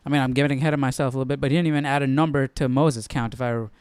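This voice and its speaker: A man's voice: medium pitch, fairly even intonation, rapid-fire, darkish tone, clear, resonant tone, no room sound. A man hosting a live study stream, giving casual, slangy, jokey commentary as he muses aloud.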